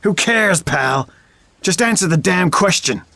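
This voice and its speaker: A man's voice: high-pitched, expressive, a little slow, pushed and bright, no echo, heavily acted. A man playing a brash, hot-headed undercover detective in an audio drama, sarcastic and combative, with a mixed accent that has Irish and London traces. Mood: defensive, brash.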